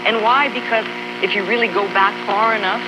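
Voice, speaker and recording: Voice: mid-range voice, steady tone, a little fast. A person giving an earnest monologue, heard played through a small radio speaker. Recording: very noisy shortwave-style radio playback.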